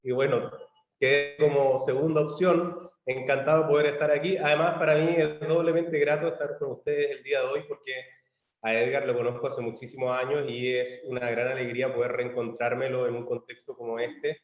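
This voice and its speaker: A man with a Chilean accent giving a measured conference presentation over a video call, with frequent fillers and restarts. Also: medium pitch, flat delivery, roomy sound, highs cut off. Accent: Chilean Spanish. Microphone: computer mic on a video call.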